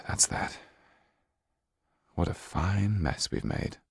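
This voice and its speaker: A man plays a restrained storybook husband, blunt and weary. His measured voice is deep and gravelly, recorded dry. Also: very animated, dry recording, full character voice. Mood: resigned.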